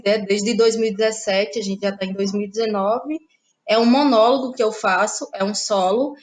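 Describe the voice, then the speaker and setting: High-voiced young northeastern Brazilian woman. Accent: Cariri Cearense, northeastern Brazilian. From Cariri, Ceará, Brazil. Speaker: guest playwright and actress. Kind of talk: reflective conversational interview.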